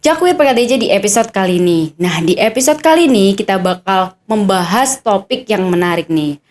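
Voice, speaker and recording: A woman's voice: mid-range voice, expressive, brisk, darkish tone. Curious young woman hosting a podcast. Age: young adult. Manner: chatty, curious, eager follow-up questions. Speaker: podcast host, cadet. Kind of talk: casual podcast interview.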